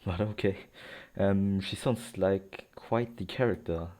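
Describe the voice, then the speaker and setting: A man's voice: sits low, fairly even intonation, slow pace, mellow. A man giving casual, rambling gameplay commentary with a sardonic manner. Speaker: let's player. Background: game music.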